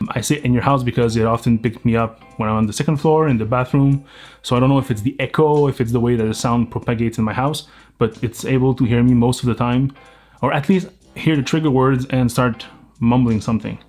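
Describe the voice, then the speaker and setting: A man's voice: medium pitch, darkish tone. French Canadian man reviewing gadgets casually. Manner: explanatory, thinking aloud with small restarts. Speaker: tech reviewer.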